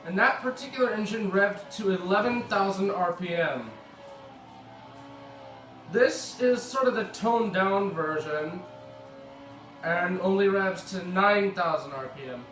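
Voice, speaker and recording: A slightly sick American man chats loosely through his gameplay, correcting himself as he goes. His voice sits a touch high for a man and carries the echo of a bare room. He is a little away from the mic, with faint music underneath. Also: a little slow, pauses often, fairly bright tone, distant mic. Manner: chatty, rambling, corrects himself. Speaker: gaming video creator. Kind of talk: casual gameplay commentary.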